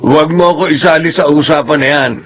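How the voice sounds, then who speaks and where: A man with a Filipino accent acting a callous, brazen middle-aged father in a radio drama, gravelly, defensive and sneering, with crowd noise behind. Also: on the high side, fast talker, natural voice. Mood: defensive, annoyed.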